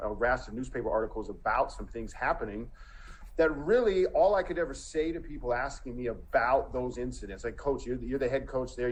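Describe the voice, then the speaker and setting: A man's voice: mid-range voice, a little hiss or hum, rough recording. A man in his forties, a coach speaking on a panel, talking earnestly and reflectively, with frequent restarts. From Montana. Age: 40s. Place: indoor room, video call.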